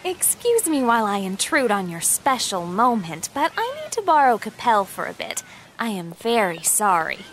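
A woman's voice: mid-range voice, very animated, normal speed, a little hiss or hum, natural voice. A woman playing a feisty, caring teenage girl in a video game, with an assertive, scolding manner. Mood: cold, annoyed. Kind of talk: video game cutscene acting.

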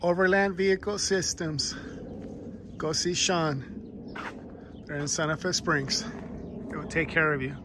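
A man's voice: on the high side, level tone, unhurried, long pauses, background noise. A man filming a casual vlog outdoors on his phone, talking eagerly and plugging a shop. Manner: enthusiastic, promotional. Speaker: vlogger. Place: outdoors at a warehouse lot and a driveway. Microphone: phone camera held by speaker.

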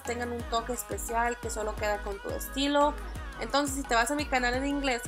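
A young woman whose first language is English, chatting upbeat through a screen-recorded tutorial with small fillers and restarts. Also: a little high. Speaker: beauty vlogger.

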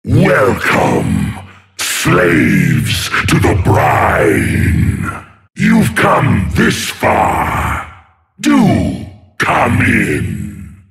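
A gravelly sea monster tyrant from a video game, imperious and gloating. He draws his threats out very slowly and theatrically, with huge swings in pitch. There is some noise in the recording. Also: huge pitch swings, a bit bright, exaggerated voice. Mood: menacing, gloating. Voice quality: gravelly, growling. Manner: slow, theatrical, menacing, gloating. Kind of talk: video game character voice lines.

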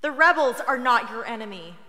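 Radio drama: woman playing defiant teenage girl rebel, tired of war, bright edgy voice, long echo. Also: fairly high pitch, expressive, a little fast. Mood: defiant, weary. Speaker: actor in a radio play. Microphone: close studio broadcast microphone. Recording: long hall reverb.

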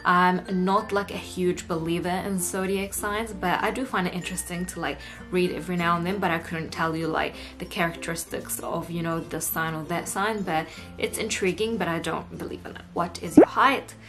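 A woman's voice: lowish voice, steady tone, moderate pace. A woman in her late twenties with a New Zealand accent, chatting casually to camera as a vlogger. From Egypt, moved to New Zealand aged nine. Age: late 20s. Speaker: YouTuber hosting a vlog. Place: indoor room at home. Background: background music.

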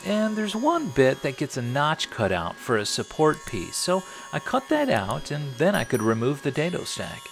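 A man's voice: on the high side, huge pitch swings, normal speed, vocal fry, few pauses, slight background noise. A middle-aged American man narrating a scripted woodworking tutorial in a wry, tongue-in-cheek manner. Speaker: host of a woodworking channel.

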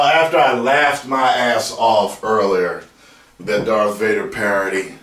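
An American man in his thirties giving casual, playful reaction commentary as a video host, loud and repeating his phrases. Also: on the low side. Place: small room at home, indoors. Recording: roomy, echoey home recording.

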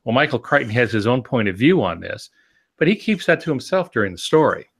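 A man in his sixties explaining things conversationally as a podcast co-host, in a measured, halting way with pauses mid-phrase. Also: mid-range voice, very animated, creaky, husky. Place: home office, indoors. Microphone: video call with earphones.

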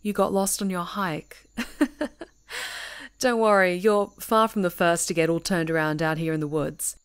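A friendly young woman camping out alone on a retreat, as a woman plays her in an audio roleplay, chatty and teasing, laughing easily as she talks. Her voice sits a little low for a woman, with faint outdoor noise behind it. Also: fairly even intonation, a little slow, smooth, lightly acted. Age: young adult. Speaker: ASMR roleplay performer.

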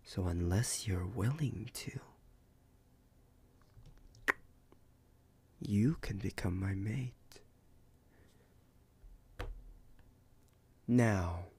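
A man voicing a possessive, smug werewolf alpha in an ASMR roleplay, husky and breathy, often whispering, with teasing deliberate pauses. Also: lowish voice, dark tone, slight background noise. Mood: possessive, coaxing.

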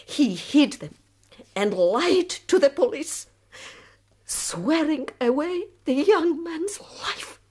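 An actress playing a proud, weary middle-aged revolutionary woman in a radio drama, speaking slowly and deliberately. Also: high-pitched, lively intonation, darkish tone. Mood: bitter, grave. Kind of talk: BBC radio drama acting.

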